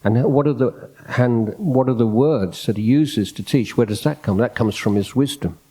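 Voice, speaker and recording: A soft-spoken man, a Buddhist monk, giving an unscripted dhamma talk in a hall, reflective and hesitant, restarting his phrases often. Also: medium pitch, moderate pace, slightly rough. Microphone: microphone in a hall.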